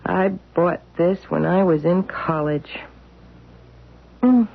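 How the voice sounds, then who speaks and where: An actress playing a calm, evasive wife who laughs off her husband's questions, in a radio drama. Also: lowish voice, vocal fry, exaggerated voice. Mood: breezy. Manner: slow, light, laughing off questions. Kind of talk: 1970s radio drama acting. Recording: muffled lo-fi old radio recording.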